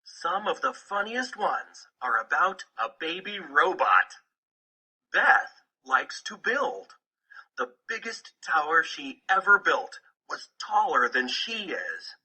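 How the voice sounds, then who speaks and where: Male picture-book narrator, slow and deliberate, with lively pitch swings. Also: breathy edge, poor audio. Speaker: audiobook narrator.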